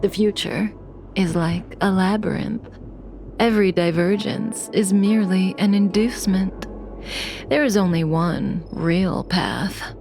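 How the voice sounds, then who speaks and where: An actress playing a calm, teasing, manipulative woman in a video game, measured and coolly playful. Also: medium pitch, gravelly. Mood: composed, philosophical. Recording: music behind the voice. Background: game soundtrack music.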